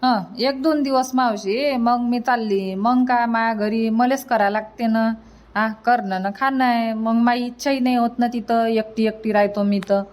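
Woman as homely young married niece in a village cartoon, bit high, earnest, varhadi marathi accent. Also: steady tone, a little slow, darkish tone, close to an everyday voice. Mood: matter-of-fact. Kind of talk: cartoon comedy dialogue in rural dialect.

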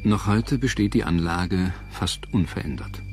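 A man narrating a scripted history documentary in a measured, dramatic storytelling manner. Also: low voice. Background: prominent music.

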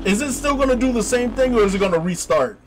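A man giving excitable, slangy let's-play commentary, exclaiming often and reading game text aloud, with game music behind him. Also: very high-pitched, fast, background noise. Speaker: gaming video host.

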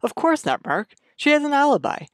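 Guy playing loyal buddy in a radio mystery, excitable, wry, voice jumps around, dry recording. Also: extremely high pitch, fairly quick, no room sound. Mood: incredulous, wry. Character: incredulous, loyal friend drawn into grave robbing. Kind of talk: radio mystery drama acting.

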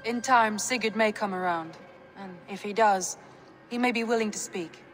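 A woman's voice: mid-range voice, normal speed, a bit bright, soft background music, close to an everyday voice. An actress playing a discreet, devoted Norse woman in a video game, composed and restrained. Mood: composed, resigned. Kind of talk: dramatic video game acting.

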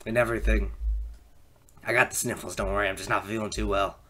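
A man voicing a creepy villain in a comedy fan dub, with low-key deadpan menace. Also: fast, a little hiss or hum. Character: creepy, sniffly, quietly menacing male villain.

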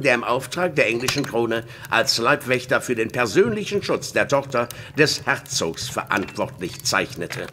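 An actor playing a stern, scheming leader of conspirators in an audio drama, speaking formally and deliberately with authority. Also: male voice, mid-range voice, sharp tone, a little hiss or hum.

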